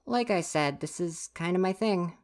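A woman's voice: on the low side, moderate pace, darkish tone, lightly acted. A woman playing a grumpy, easily flustered university-age stagehand in an ASMR roleplay, huffy and exasperated, talking straight to the listener. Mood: defensive, opening up. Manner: huffy, exasperated, sighing scolding.

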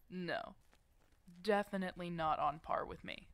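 A woman's voice: on the low side, takes it slowly, vocal fry, fairly bright tone, no room sound, full character voice. A woman playing a protective, teasing werewolf mentor in an ASMR roleplay, casual and bossy but affectionate. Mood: teasing, coaxing. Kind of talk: ASMR roleplay audio drama addressed to the listener.

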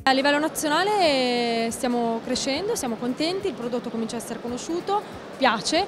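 A woman's voice: high voice, expressive, brisk, over music. A woman in her thirties, a rice company representative, speaking upbeat at a fair stand. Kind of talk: interview at a trade fair stand. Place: busy indoor exhibition hall. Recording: noisy fair hall background.

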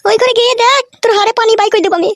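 A woman's voice: very high-pitched, racing, fairly bright tone, no echo, clean studio sound. A woman voicing a jealous, nagging village wife in a comic cartoon, accusing and coarse.

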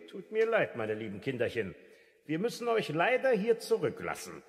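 Scornful gravelly crooked uncle, echoing. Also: male voice, a little high, huge pitch swings, normal speed. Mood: mockingly sweet. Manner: scornful, falsely sweet when threatening. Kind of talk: 1970s German radio play acting. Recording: reverberant cellar-like hall sound.

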